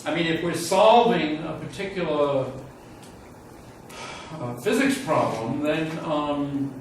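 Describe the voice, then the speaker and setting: A man gives an informal university lecture in a very echoey room, picked up at some distance from the microphone. He speaks haltingly at a middle pitch, thinking aloud and frank about what he is unsure of. Noticeable room noise sits around his voice. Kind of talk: informal classroom lecture with student questions. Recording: roomy echo, distant mic, loud room noise.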